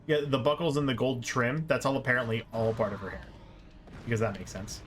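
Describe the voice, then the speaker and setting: A man's voice: mid-range voice, fairly even intonation, brisk. A man streaming a game with casual, explanatory commentary and exasperated asides. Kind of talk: casual live gameplay commentary. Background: game music.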